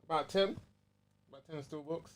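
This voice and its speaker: A man's voice: a little high, normal speed. A man with a London accent chatting casually and easily as a gaming podcast co-host, using plenty of slang.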